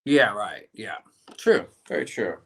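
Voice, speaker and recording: A man from Australia with an Australian accent, a dancer chatting as a podcast guest in a lively, easy way. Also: on the low side, slow pace, creaky. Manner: lively, laughs often, supportive. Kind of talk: casual podcast chat.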